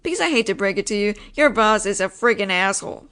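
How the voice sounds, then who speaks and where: A woman's voice: mid-range voice, animated, quick pace, fairly bright tone, smooth, natural voice. A woman playing an exasperated but apologetic mafia boss in a roleplay audio drama, with a wry, sighing, slangy way of talking. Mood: wry, explaining.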